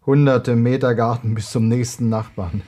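A man's voice: medium pitch, steady tone, normal speed, dark tone, close to an everyday voice. An actor playing a practical, guarded young married man in a German radio play, talking in a colloquial, matter-of-fact way.

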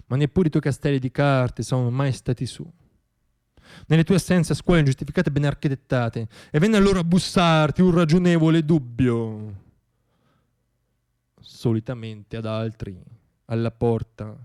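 A man performing a theatrical radio monologue, telling a story in a wry, ironic way with dramatic pauses and swells. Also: medium pitch, warm tone.

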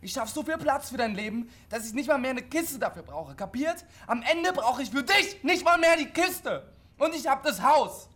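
A man's voice: very high voice, lively intonation, normal speed, sharp tone, exaggerated voice. A lonely CB radio dreamer in his twenties in a radio play. He is defiant and insistent, forever talking himself up. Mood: angry, agitated. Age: 20s. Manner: boastful, insistent. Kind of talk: radio drama acting.

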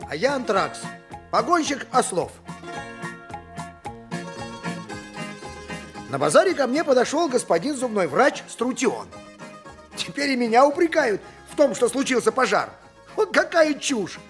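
A man's voice: extremely high pitch, sing-song, normal speed, over music. A man playing an indignant, boastful donkey driver who grumbles and declaims in an audio drama. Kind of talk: theatrical audio drama monologue.

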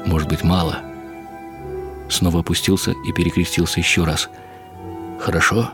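Mature male narrator for literary radio drama: very low voice, reflective, expressive delivery over music. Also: moderate pace.

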